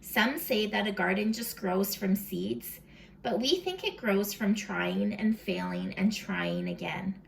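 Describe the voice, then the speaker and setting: A woman hosting a read-aloud for young children, expressive and full of questions, sometimes dropping into a whisper, recorded at home. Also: mid-range voice, slow pace, vocal fry, slight background noise, rough recording. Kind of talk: animated children's storybook read-aloud with chat to the listeners.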